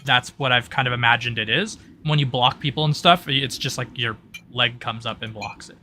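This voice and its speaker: A man working as game master, explaining tabletop roleplay rules in a halting way, thinking aloud. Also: mid-range voice, sharp tone, slight background noise.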